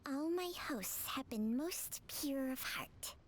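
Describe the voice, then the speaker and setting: A woman's voice: high-pitched, expressive, slow pace, a little hiss or hum. An actress playing a small female snake spirit with a light, wry and casual way of talking, in a video game cutscene. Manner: light, breezy, casual talk. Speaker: game character. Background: game music.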